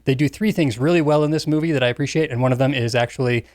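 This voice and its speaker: A man's voice: medium pitch, very fast, darkish tone, no room sound. Man talking movies, dry close sound. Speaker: podcast co-host. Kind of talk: casual film discussion.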